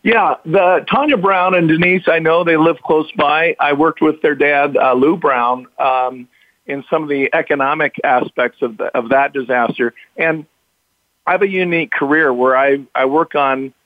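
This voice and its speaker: Raspy man on a tinny line. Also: on the high side, normal speed, no room sound. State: recovering from illness, slightly hoarse. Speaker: interview guest, author. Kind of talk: remote interview. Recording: tinny phone-line audio, dry.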